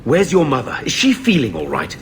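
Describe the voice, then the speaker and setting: A man's voice: mid-range voice, huge pitch swings, a little fast, a little hiss or hum. An actor playing an anxious, stubborn man in a fantasy game, worried and insistent. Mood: concerned. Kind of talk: dramatic game acting.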